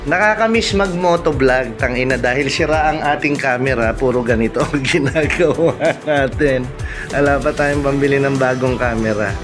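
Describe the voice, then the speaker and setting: A middle-aged man with a Filipino accent, chatting to viewers in a casual vlog full of shout-outs. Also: mid-range voice, moderate pace. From Philippines. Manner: chatty, often addressing viewers. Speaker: motovlogger host. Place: vlogging in an intercom accessory shop. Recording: loud music behind the voice.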